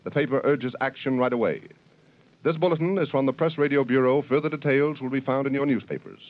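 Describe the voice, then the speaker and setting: A man's voice: medium pitch, fairly even intonation, brisk. A man reading a formal 1930s news bulletin on the radio. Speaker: news announcer. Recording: tinny old radio broadcast.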